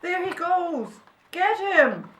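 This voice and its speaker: A woman voicing eager, excitable temple helpers in a storybook, exclaiming loudly and urgently. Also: fairly low pitch, slow pace, echoey room, recorded from a distance, pitched below the performer's own voice, exaggerated voice, rough recording. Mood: excited. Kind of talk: storybook character voice.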